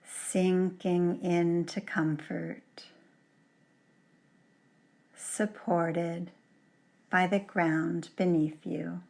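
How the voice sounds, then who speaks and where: A woman yoga teacher leading a guided relaxation in a gentle, soothing manner, leaving long pauses between short phrases. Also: lowish voice, little pitch movement, gravelly. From Canada.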